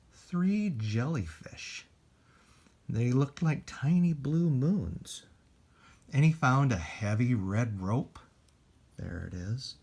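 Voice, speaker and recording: Man, American accent, storytime reader, slow deliberate pacing, mid pitch, expressive, simple casual asides. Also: husky. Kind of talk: children's storytime read-aloud with casual asides.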